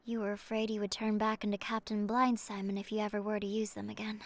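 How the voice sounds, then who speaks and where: A woman playing a solemn, devoted sea sorceress in an audio drama, with a tender manner that often softens toward a whisper. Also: mid-range voice, completely flat delivery, fast talker, close to an everyday voice. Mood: gentle, nostalgic. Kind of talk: cinematic audio drama acting.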